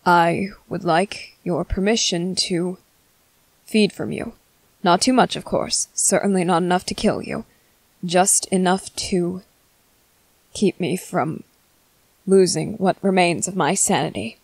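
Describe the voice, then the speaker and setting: A woman playing a courteous, restrained male vampire commander in an ASMR roleplay, speaking in formal, halting, hungry phrases. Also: fairly low pitch, gravelly, darkish tone, husky, dry recording, full character voice. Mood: strained, pleading. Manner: formal, halting, measured, apologetic. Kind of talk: scripted ASMR roleplay monologue.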